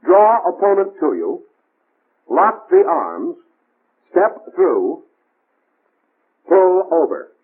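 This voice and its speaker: Tinny old film soundtrack, male narrator, high voice, 1940s military instructional style, clipped commands. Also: wildly swooping pitch, drawn-out words, pauses often, slightly rough.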